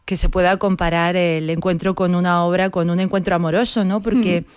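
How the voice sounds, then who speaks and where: A woman's voice: lowish voice, fairly even intonation, brisk, clear, resonant tone, no echo. Female playwright guest, reflective talker, resonant clean voice, dry close sound. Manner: reflective, often seeks agreement with tag questions. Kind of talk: reflective conversational interview.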